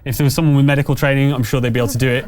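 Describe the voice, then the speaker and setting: A man running a tabletop game as game master, narrating off the cuff in a hesitant, halting way. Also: mid-range voice, fairly even intonation, a little mellow, a little hiss or hum. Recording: music behind the voice.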